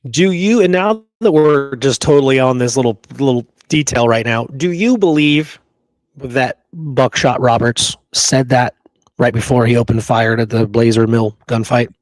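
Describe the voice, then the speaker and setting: Man, mid-range pitch, history show co-host, casual, emphatic, dry close sound. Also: moderate pace, dry recording, rough recording. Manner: emphatic, often checks for agreement with "right?". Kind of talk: casual chat about Western history and films.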